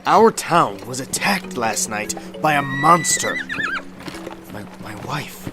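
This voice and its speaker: A man's voice: mid-range voice, lively intonation, slow pace. An actor playing a defensive, shaken townsman in a radio drama. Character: shaken, defensive townsman after a monster attack. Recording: loud music behind the voice.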